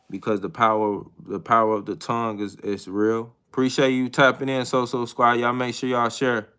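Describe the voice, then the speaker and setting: Hesitant, bright-voiced man in his thirties. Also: medium pitch, smooth. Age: 30s. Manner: hesitant and reflective, many pauses and restarts. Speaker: livestream host. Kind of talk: casual live chat with viewers.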